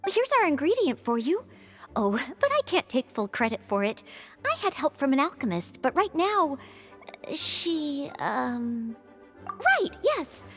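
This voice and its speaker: An eager young maid of a knightly order in a video game. Her high voice swings expressively, courteous and earnest throughout, with faint music underneath. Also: big pitch swings, measured pace, a bit bright, heavily acted. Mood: polite, hopeful. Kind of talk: video game character dialogue.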